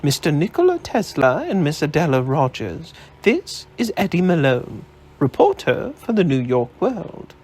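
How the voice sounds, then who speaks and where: A man reading aloud, voicing a composed woman scientist who speaks with calm authority. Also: a little high, measured pace, husky, pitched above the performer's own voice, heavily acted. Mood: gracious. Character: poised, sharp-minded woman scientist.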